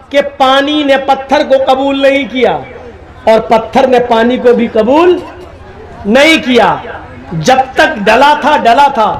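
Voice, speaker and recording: A male preacher delivering a sermon at a religious gathering, chanting Arabic prayers slowly and exhorting loudly between them. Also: very high voice, low-quality recording. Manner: asks the audience questions directly. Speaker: Islamic preacher.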